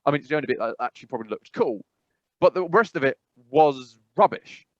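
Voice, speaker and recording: A man's voice: on the high side, animated, fast, dry recording. Man, casual podcast chat, rambling with fillers, dry close sound, slightly dull. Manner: rambling, many fillers and restarts. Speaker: podcast co-host.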